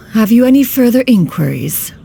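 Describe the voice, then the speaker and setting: A woman voicing a dignified, motherly crane immortal in a video game, speaking in formal, archaic, measured phrases. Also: medium pitch, vocal fry, warm tone, exaggerated voice. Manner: formal archaic speech, calling herself "one", measured and stately. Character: dignified, aloof, motherly crane immortal, a female master to disciples. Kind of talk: scripted video game voice acting.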